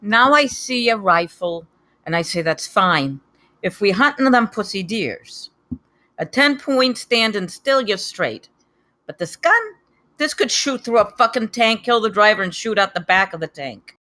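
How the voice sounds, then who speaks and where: A woman's voice: medium pitch, expressive, unhurried, sharp tone, dry recording. A woman playing a boastful, crude backwoods male hunter with a folksy, slangy, swaggering delivery.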